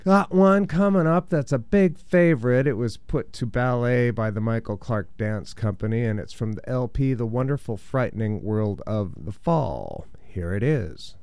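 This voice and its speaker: A man hosting a radio show and chatting casually between records, his voice deep and congested from a cold, rambling a little with fillers. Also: animated, moderate pace, few pauses, a little mellow, clear, resonant tone, no room sound. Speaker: radio DJ host.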